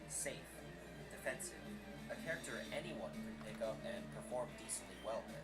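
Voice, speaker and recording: A man narrating a documentary in a measured, storytelling manner. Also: fairly low pitch, expressive. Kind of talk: scripted narration. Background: music.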